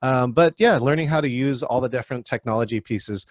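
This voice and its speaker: Male workshop host on a video call; friendly, mid-pitched, dry close sound. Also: fairly quick, no room sound. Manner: friendly, calls on attendees by name. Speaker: virtual meeting trainer. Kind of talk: hosting an online workshop.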